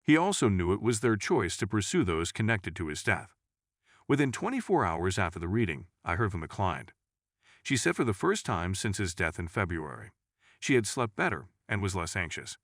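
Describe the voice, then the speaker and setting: A man with a low voice narrates a scripted paranormal story in the first person. His intonation moves widely up and down, and the sound is dry and close, with no room on it. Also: very animated, normal speed, no room sound.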